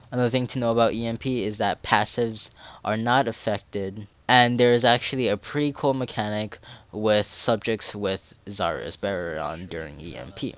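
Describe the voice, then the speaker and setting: A man's voice: fairly low pitch, fairly bright tone. A young man with a nasal, stuffy voice from a cold, explaining game mechanics in a tutorial voice-over and rambling in self-deprecating asides. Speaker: game guide creator.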